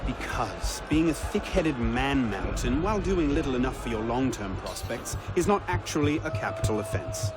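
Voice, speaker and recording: A man's voice: medium pitch, big pitch swings, normal speed, few pauses, music in the background, natural voice. An actor playing a headstrong young assassin in a game cutscene, wry and impassioned in his delivery. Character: headstrong young male assassin seeking redemption, wry and defiant. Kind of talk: dramatic video game cutscene acting.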